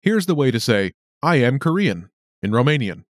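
Lively man hosting a language lesson. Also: medium pitch, normal speed, dry recording, clean studio sound. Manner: upbeat, clear announcer delivery. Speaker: lesson host. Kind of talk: scripted lesson narration.

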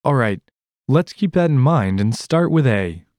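A man with an American accent hosting a scripted language lesson podcast in an upbeat, expressive way. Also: medium pitch, a little slow, no echo, clean studio sound. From United States. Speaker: podcast host.

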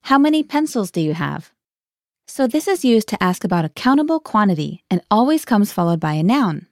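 A woman's voice: mid-range voice, big pitch swings, brisk, dry recording, clean studio sound. A woman hosting a scripted language lesson, guiding the learner and translating each phrase into English.

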